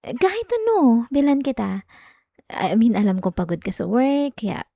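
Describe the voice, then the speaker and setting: A woman's voice: a little high, huge pitch swings, a little slow, gravelly, warm tone, no room sound, full character voice. A woman playing a clingy, jealous young girlfriend in an intimate ASMR roleplay, coaxing and whining close to the mic. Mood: coaxing, pleading. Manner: coaxing, whiny, with sighs and hesitations. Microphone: close, dry microphone.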